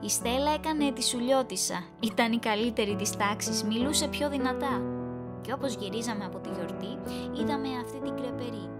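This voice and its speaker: A woman acting an anxious, wistful young woman in a Greek audio drama, expressive and conversational. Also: on the high side, over loud music, lightly acted. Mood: soft, reminiscing.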